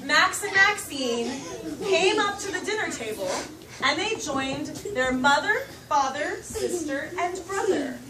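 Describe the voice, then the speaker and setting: A high-pitched woman tells a story to young children with theatrical emphasis. She is some way from the mic in an echoey room, with a crowd of kids around her. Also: lively intonation, far from the mic. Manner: dramatic, theatrical, drawn-out emphasis. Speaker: storyteller leading a puppet show for young children. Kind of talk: live children's storytelling with puppets.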